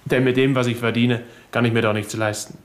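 An actor playing a cocky young bank clerk who speaks in a sardonic, insolent way in a 1970s radio drama. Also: male voice, medium pitch, level tone, brisk, natural voice. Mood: guarded. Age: young adult.

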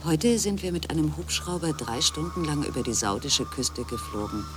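A man's voice: mid-range voice, lightly acted. A man playing a grave marine biologist and reporter, reading scripted narration in a radio documentary drama.

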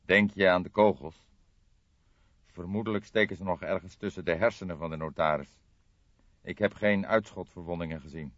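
A man voicing an older police detective in an audiobook, grave, thoughtful and deliberate. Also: low voice, no echo, highs cut off, natural voice. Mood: pensive.

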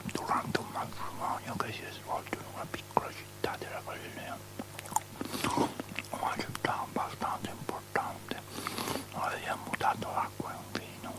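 An actor playing a confused, earnest religious man in a theatrical radio drama, speaking in a breathy whisper. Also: close to an everyday voice, rough recording. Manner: self-contradicting, earnest, gossiping. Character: confused, insistent religious man arguing about miracles. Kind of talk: avant-garde theatrical radio drama acting.

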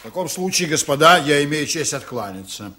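A man's voice: on the high side, animated, a little fast, noisy background, lightly acted. A man acting a proud, stubborn sea captain in a radio drama, speaking firmly and formally with ironic bite. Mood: firm, indignant.

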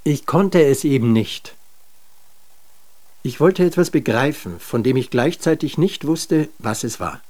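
A man's voice: medium pitch, expressive, unhurried, a little mellow, lightly acted. An actor in a German radio drama playing a weary, stubborn man full of self-doubt, groping for words. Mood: weary, halting.

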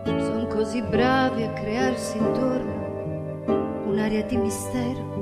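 Female singer-actress, high voice, very unhurried, expressive theatrical song-recital over prominent guitar music. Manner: slow, drawn-out, expressive delivery. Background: musical accompaniment with guitar.

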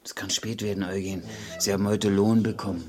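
A man's voice: on the low side, little pitch movement, fairly quick, darkish tone, close to an everyday voice. A man playing a weary, kindly tavern singer and sinner in a radio play, speaking in a subdued way. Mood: weary, gentle.

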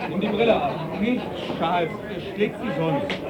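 An actor playing a loud, mocking, coarse tavern drinker in a radio drama. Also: male voice, sits high, normal speed, roomy sound, recorded from a distance, a loud crowd, lightly acted. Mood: belligerent, jeering.

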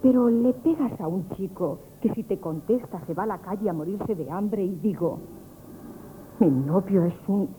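Woman playing kind but feisty shopkeeper's daughter, Spain accent, very mellow mid voice, radio-theatre delivery, slightly noisy old broadcast. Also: mid-range voice, normal speed, lightly acted. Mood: indignant. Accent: Spanish from Spain. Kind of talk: 1970s radio comic theatre acting.

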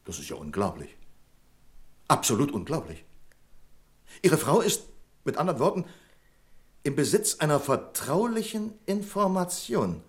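An imperious bank manager, sweeping intonation. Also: male voice, on the high side, big pitch swings, a little slow, pauses often. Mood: indignant. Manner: curt, condescending, fault-finding. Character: pedantic, imperious male bank branch manager. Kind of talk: German radio play acting.

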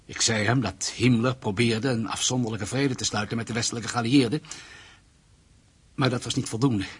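A man acting in a radio play as an anxious captive ex-Nazi, guarded and deliberate as he pleads and confesses. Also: medium pitch, fairly even intonation, breathy edge. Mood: grave confession.

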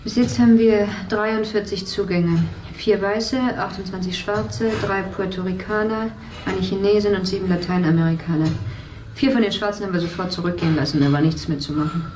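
A woman's voice: medium pitch, a little fast, roomy sound, slight background noise, close to an everyday voice, low-quality recording. A woman playing a cold, matter-of-fact worker. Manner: flat, bitter, listing figures. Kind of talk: radio drama acting.

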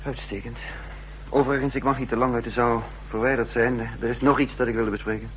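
A man's voice: medium pitch, level tone, a little fast, fairly bright tone, natural voice. An actor playing a cool, defiant male secret agent with a dry, clipped, formal manner and a Netherlandic Dutch accent in 1960s radio drama style. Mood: businesslike. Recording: noisy 1960s radio recording.

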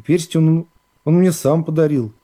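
A young woman defendant played by a man testifies in a radio reading. The voice is high and soft, with plain, artless phrasing. She sounds simple and worn down, yet defiant. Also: fairly even intonation, moderate pace, lightly acted. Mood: weary, explaining. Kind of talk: dramatised literary reading.

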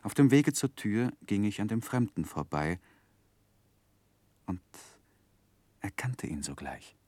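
Casting: earnest, sensitive literary hero, deep voice, dry studio sound, measured and reflective, vintage German radio play. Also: male voice, sing-song, warm tone, dry recording. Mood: absorbed, nostalgic. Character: David Copperfield, earnest, sensitive man recalling his youth. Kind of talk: 1960s German radio drama, literary first-person narration.

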